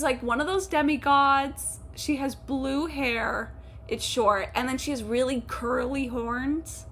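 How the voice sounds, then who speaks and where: A woman chatting casually and swearing freely as she livestreams a video game, with an animated manner. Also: high-pitched. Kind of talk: casual gaming stream commentary.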